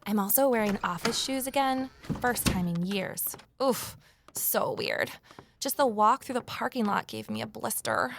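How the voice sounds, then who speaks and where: A woman's voice: fairly high pitch, very animated, measured pace, gravelly, slight background noise, full character voice. An actress playing a wry, candid woman starting over, narrating her own story in an audio drama with self-deprecating asides. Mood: candid, upbeat. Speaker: lead character and first-person narrator. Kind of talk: scripted first-person audio drama narration.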